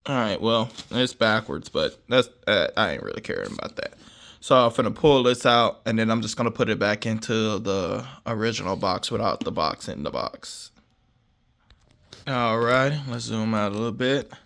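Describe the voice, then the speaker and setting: A man's voice: lowish voice, moderate pace, gravelly, fairly bright tone. A man with an American accent chatting in a laid-back, rambling, slangy way like a vlogger showing off gear. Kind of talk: casual unboxing vlog chat.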